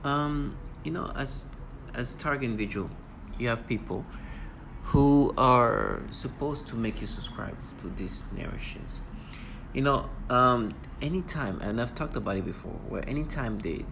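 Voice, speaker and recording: A man's voice: medium pitch, animated, normal speed, gravelly, noisy background. A man in his thirties talking at length in an unscripted monologue, insistent and repetitive, often restarting his sentences. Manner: insistent, repeats himself, many restarts. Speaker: vlogger.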